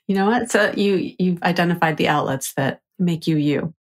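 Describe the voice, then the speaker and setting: A woman hosting a podcast, chatting with her cousin in an upbeat, encouraging way and laughing often. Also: on the low side. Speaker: podcast host. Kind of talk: casual interview chat.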